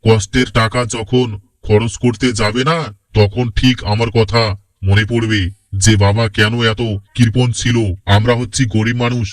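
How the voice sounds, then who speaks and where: A man's voice: mid-range voice, no room sound, close to an everyday voice. A man voicing a stingy, hardworking father in a cartoon, indignant and preachy. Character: stingy, hardworking village father, lecturing and grumbling. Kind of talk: amateur cartoon voice acting in Bengali.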